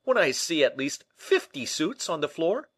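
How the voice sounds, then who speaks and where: Male reader as shrewd gentleman, audiobook character, brisk, questioning, exclamatory, very lively intonation, dry sound. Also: mid-range voice, no room sound, pitched above the performer's own voice. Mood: astonished. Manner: animated, questioning, slightly impatient.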